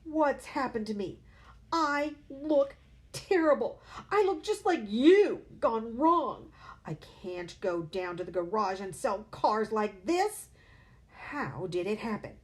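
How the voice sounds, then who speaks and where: A woman voicing a blustering, vain father who yells indignantly in a storybook reading. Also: on the high side, sing-song, slow pace. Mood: panicked. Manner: yelling, indignant. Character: blustering angry middle-aged father, vain car salesman. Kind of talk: read-aloud storybook acting.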